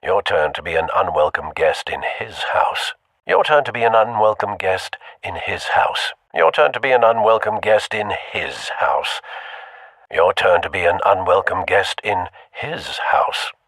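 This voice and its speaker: A man voicing a loyal older butler in a video game, droll and formal, with a husky voice. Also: low-pitched, animated, unhurried, fairly bright tone, dry recording, heavily acted. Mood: formal, wry. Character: dry, loyal older male butler and confidant.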